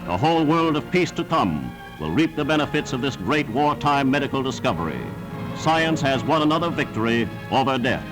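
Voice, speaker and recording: A man's voice: mid-range voice, very animated, a little fast, low-quality recording. A man narrating a 1940s wartime newsreel in a formal, declamatory scripted style. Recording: 1940s newsreel soundtrack with music.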